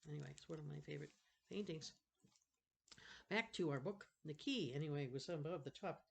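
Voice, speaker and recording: A woman's voice: low-pitched, fairly quick, vocal fry, breathy edge. An older woman reading a children's novel aloud as a storyteller, drifting into rambling, self-correcting chatty asides.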